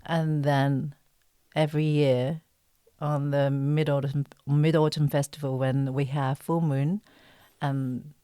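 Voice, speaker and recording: A woman from China with a Chinese accent, an actress speaking casually as an interview guest, pausing to search for words in simple phrases. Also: low-pitched, little pitch movement, warm tone, clean tone, no echo, clean studio sound.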